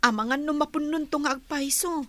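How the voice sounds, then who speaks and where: Young female student, acted by a woman, very high voice, polite, earnest, audio drama daughter. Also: fairly quick, fairly bright tone, no room sound. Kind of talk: dialogue in an amateur audio drama.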